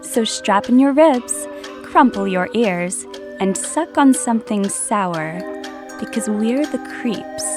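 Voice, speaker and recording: Slow, theatrical monster storyteller. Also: female voice, a little high, animated, a little mellow, soft background music. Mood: eerie, gleeful. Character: creepy young female monster storyteller with pincers and wings. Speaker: podcast host. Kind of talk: scripted horror storytelling.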